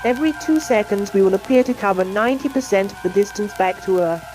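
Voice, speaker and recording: A woman's synthetic AI voice giving scripted educational science film narration in a measured, explanatory manner. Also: medium pitch, animated, rough recording. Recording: music playing under the voice. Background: music.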